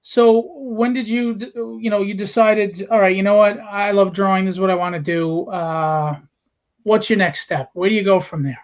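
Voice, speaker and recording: A man hosting a casual interview over a video call, relaxed and affirming, greeting viewers by name. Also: high voice, moderate pace. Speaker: podcast host. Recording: dull lo-fi call audio.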